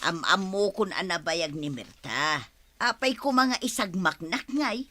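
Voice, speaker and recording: Bright-voiced, breezy Ilocano woman. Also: on the low side, lively intonation, unhurried, full character voice. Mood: curious, agreeable. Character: breezy, eager, trusting woman taking delivery jobs. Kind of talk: Ilocano radio comedy-drama acting.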